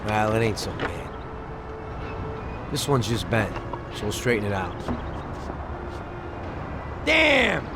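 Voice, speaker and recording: A restless young mobster in a game cutscene; swinging, animated delivery, street noise behind. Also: male voice, fairly low pitch, slow, long pauses, heavily acted. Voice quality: streetwise slang, jumpy outbursts. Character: excitable young male gangster, tempted by loot.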